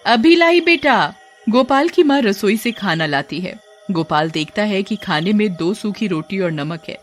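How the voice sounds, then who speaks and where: A woman's voice: medium pitch, expressive, moderate pace. Woman narrating a story over music. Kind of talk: scripted children's story narration.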